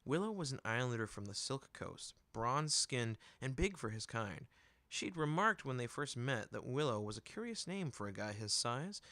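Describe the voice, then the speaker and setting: Bright-voiced male fantasy storyteller, dry recording. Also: medium pitch, no echo. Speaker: audiobook narrator. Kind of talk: expressive scripted fantasy fiction narration.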